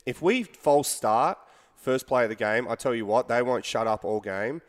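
A man's voice: medium pitch, animated, normal speed. Young male co-host, non-American English accent, chatty and repetitive, reverberant sound. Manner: chatty, repeats words for emphasis. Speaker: podcast co-host. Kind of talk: casual sports chat.

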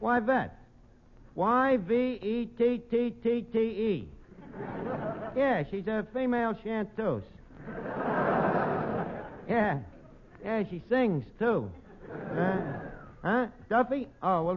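An actor playing a brash, dim tavern manager with a New York accent, pompous and slangy, in 1940s radio comedy style. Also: male voice, high voice, expressive, slow pace, long pauses. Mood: breezy, chatty. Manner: pompous malapropisms, streetwise slangy talk. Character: brash, dim, wisecracking male tavern manager mangling big words. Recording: muffled old radio recording, clear noise.

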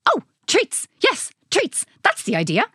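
A woman voicing an eccentric old male scientist in a children's story, animated, stammering and exclamatory. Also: extremely high pitch, measured pace, no echo, clean studio sound, voice pushed up, exaggerated voice. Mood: delighted.

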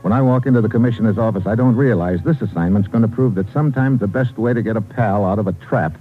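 A low-pitched, tough American secret agent acted by a man in an old radio thriller. He talks in clipped slang, wry and world-weary. The broadcast is muffled, with music clearly behind him. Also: fast talker, lightly acted. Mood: wry, hard-boiled. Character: tough, wry, two-fisted male government agent. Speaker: lead actor and narrator. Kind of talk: 1950s radio drama acting, hard-boiled narration.

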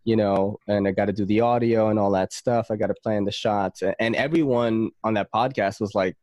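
A man hosting a podcast, talking in a relaxed, rambling way with many fillers and casual swearing. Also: on the low side, fairly quick, no echo, clean studio sound. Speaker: podcast host.

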